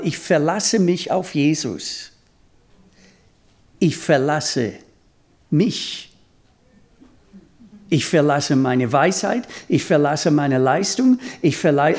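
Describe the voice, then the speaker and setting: A man preaching a sermon in a language that is not his mother tongue, deliberate and halting as he rephrases himself. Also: on the high side, a little mellow. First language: not German; speaks German as a second language.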